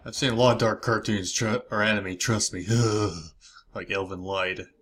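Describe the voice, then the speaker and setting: Medium-pitched casual reaction video host. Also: fairly even intonation, normal speed, a bit bright, a little hiss or hum.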